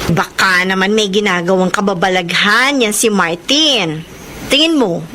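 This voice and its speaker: A woman's voice: mid-range voice, unhurried, pushed and bright, a little hiss or hum. An actress playing a frank, insistent female friend giving advice in a radio drama. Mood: nudging, frank. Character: Christy, frank, nudging female friend and confidante.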